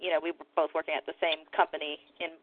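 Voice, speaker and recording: A woman's voice: low voice, little pitch movement, very fast, dry recording. An American woman talking casually as a guest on a podcast. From Kentucky, United States. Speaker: podcast guest, comic artist. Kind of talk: casual chat. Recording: thin phone-like audio, dry.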